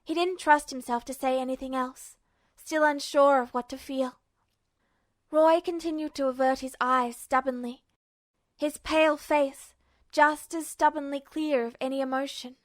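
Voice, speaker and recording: Woman reading a story out loud, pretty high voice, expressive, really dry close sound. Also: measured pace, dry recording. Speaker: audiobook narrator. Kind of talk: expressive scripted fiction narration.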